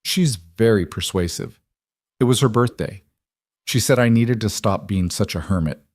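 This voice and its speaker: Man voicing a guarded, quiet young woman. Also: lowish voice, moderate pace, no echo, studio quality. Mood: guarded, wistful. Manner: hesitant, soft-spoken, evasive. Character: guarded young woman, quiet maid hiding her past.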